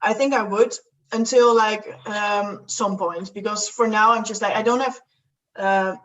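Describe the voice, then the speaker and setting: A woman's voice: medium pitch, fairly even intonation, a little slow, fairly bright tone, recorded from a distance, a little hiss or hum, low-quality recording. Young woman from the Netherlands, chatty, rambling, lots of fillers, a bit off-mic. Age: young adult. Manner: rambling, many fillers and restarts, laughs often. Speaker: guest. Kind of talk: casual chat.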